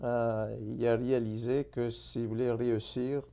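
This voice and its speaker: A man's voice: medium pitch, flat delivery, unhurried, dark tone, smooth. A middle-aged man, a candidate telling his life story in a casual interview, earnest and full of fillers and restarts. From born in Italy, raised in Montreal. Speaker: political candidate.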